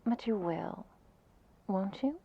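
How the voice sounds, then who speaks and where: A woman playing a seductive, possessive vampire mistress in an intimate ASMR roleplay, breathy and whispery, with formal, languid phrasing. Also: medium pitch, animated, slow pace, dull audio, full character voice. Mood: cool command.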